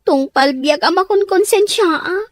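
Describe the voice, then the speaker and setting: A woman's voice: very high voice, a little slow, no room sound, studio quality. A woman with an Ilocano Filipino accent playing a troubled, defiant teenage daughter in an audio soap drama. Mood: uneasy, evasive.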